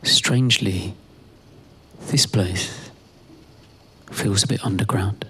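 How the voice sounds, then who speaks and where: A man performing a spoken-word monologue in a deliberate, halting way, repeating phrases, with a husky voice. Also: lowish voice, sharp tone. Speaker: performance artist and narrator.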